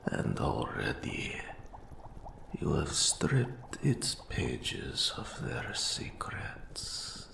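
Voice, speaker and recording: A man voicing an old undead wraith mentor in a fantasy audio roleplay, eerie and courtly, with a gravelly, breathy half-whisper and slow, archaic, formal phrasing. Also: rumbling low, huge pitch swings, heavily acted. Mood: curious, measured. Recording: roomy echo on the voice.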